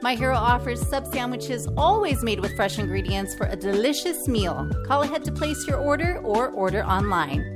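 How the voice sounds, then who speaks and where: A woman reading a radio advertisement in an upbeat promotional tone. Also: on the high side. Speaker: advertisement announcer. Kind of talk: radio ad read. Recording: loud music bed under voice.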